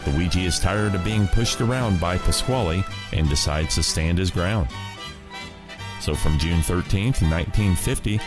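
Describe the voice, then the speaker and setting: A man's voice: deep voice, a little slow, over music. A man hosting a podcast, reading a scripted introduction to the programs. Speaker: podcast host.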